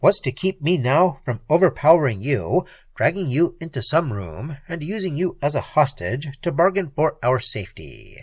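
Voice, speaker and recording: A man voicing an indignant, gruff male space captain who growls his words. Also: fairly high pitch, very animated, moderate pace, few pauses, no room sound, exaggerated voice. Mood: angry.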